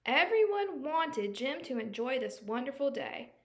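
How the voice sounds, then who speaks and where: A woman's voice: sits high, sing-song, unhurried. A woman storyteller reading a picture book aloud, expressively explaining and asking young listeners questions.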